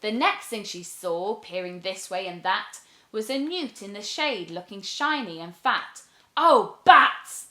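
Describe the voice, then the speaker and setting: A woman reading a rhyming children's picture book aloud as an expressive storyteller. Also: mid-range voice, unhurried, echoey room.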